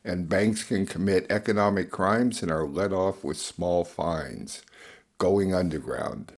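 A man narrates a scripted news summary for radio. His voice is notably deep. He reads as a presenter introducing a report. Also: unhurried.

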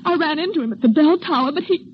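A woman playing a distraught, apologetic wife in a 1940s radio drama, halting and breathless, on a muffled, noisy recording. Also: high-pitched, lively intonation. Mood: anxious, shaken.

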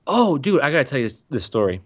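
A man's voice: mid-range voice, sing-song, fast. A man chatting casually, rambling and often restarting his sentences as he tells stories. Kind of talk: casual podcast chat.

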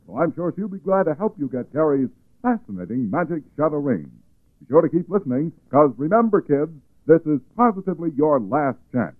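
A man with an American accent, announcing and pitching the sponsor in punchy, urgent 1940s radio style. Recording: tinny 1940s radio recording.

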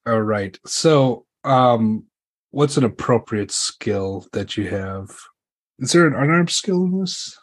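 Husky male game master. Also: medium pitch, expressive, takes it slowly. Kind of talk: improvised tabletop game narration, casual.

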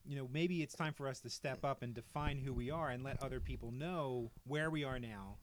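A man's voice: mid-range voice, brisk, a little hiss or hum. A man in his early forties hosts a podcast, speaking in a casual chat and steering the talk along easily. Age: 40s. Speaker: podcast host.

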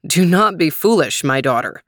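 A woman's voice: low-pitched, expressive, normal speed, a bit bright, dry recording. A noble father from a folktale, acted out by a woman, speaking formally. He admonishes with concern, and the sound is dry and close. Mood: scolding, concerned. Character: concerned father, nobleman scolding his rash daughter.

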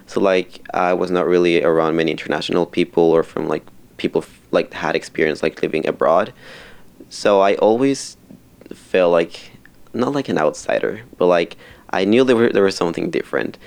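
Young Mexican-born man, very low gravelly voice, halting chatty guest. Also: darkish tone. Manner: halting, many fillers and restarts. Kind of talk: casual interview chat.

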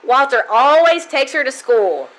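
A woman's voice: high-pitched, sing-song, measured pace, a bit bright, heavily acted, rough recording. A woman playing a sweet, earnest teenage schoolgirl daughter in an old-time radio comedy. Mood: defensive.

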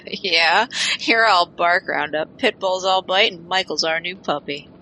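A woman's voice: lowish voice, slow pace, pushed and bright, slight background noise, poor audio. A woman playing a cheeky, sweet-talking streetwise crew member with a teasing, coaxing manner in an audio drama.

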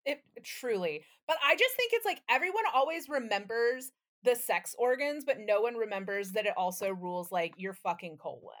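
A woman's voice: fairly high pitch, very animated, moderate pace, few pauses, fairly bright tone. A woman co-hosting a podcast, bantering casually in an emphatic, candid way and swearing freely. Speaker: podcast co-host.

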